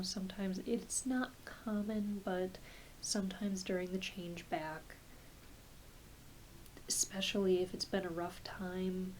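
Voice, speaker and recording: A woman playing a gentle, reassuring, slightly scattered doctor in an ASMR medical roleplay, speaking softly and haltingly, often trailing into a near-whisper. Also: mid-range voice, close to an everyday voice, low-quality recording. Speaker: ASMR performer. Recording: close home recording with clear hiss.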